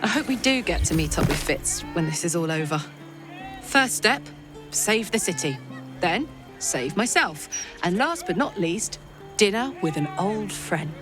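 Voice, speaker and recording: A woman's voice: lowish voice, sing-song, measured pace. An upbeat female adventurer. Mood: hopeful. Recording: game audio with music behind.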